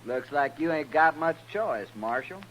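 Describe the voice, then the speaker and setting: A man's voice: a little high, expressive, unhurried, fairly bright tone, slight background noise, low-quality recording. An actor playing a cocky, goading gunman in a radio western, with a folksy rural western American accent. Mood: taunting, menacing. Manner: taunting, folksy, swaggering. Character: cocky, goading Old West troublemaking gunman. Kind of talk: 1950s radio western acting.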